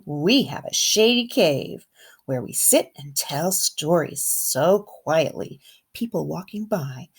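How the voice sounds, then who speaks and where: A woman kindergarten teacher reading a picture book aloud to young children in an expressive way, with simple asides and questions. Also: lowish voice, husky. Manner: animated, simple wording, pauses to comment and question.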